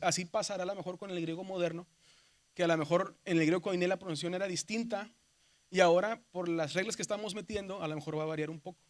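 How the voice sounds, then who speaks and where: A man from Mexico with a Mexican Spanish accent, teaching a seminary class, who explains step by step, keeps checking with "¿verdad?" and calls his students brothers. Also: high-pitched. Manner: addresses students as "hermanos". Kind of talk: classroom lecture.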